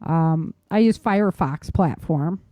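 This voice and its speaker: A woman's voice: low-pitched, a little slow, no echo, lo-fi sound. A woman co-hosting a podcast in casual conversation, speaking in an emphatic, opinionated way. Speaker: podcast co-host.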